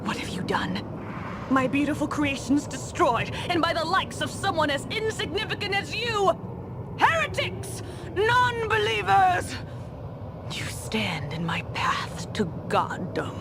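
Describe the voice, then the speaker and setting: A woman's voice: very high voice, big pitch swings, unhurried, background noise, heavily acted. An actress playing a haughty, sadistic female mad scientist villain in a video game, theatrical and condescending. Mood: outraged, then cold threat.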